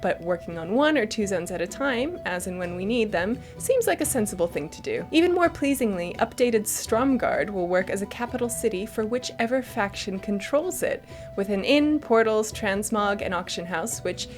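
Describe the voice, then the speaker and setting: A woman co-hosting a gaming news show, wry and playfully emphatic, moving between narration and casual banter. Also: mid-range voice. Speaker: show co-host.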